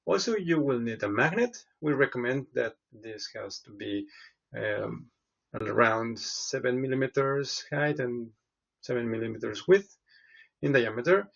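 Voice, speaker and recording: A man, a professor giving step-by-step instructions in a halting, hesitant way. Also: mid-range voice, animated, creaky, a bit bright, highs cut off. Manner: halting, hesitant delivery with frequent pauses. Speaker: professor, tutorial presenter.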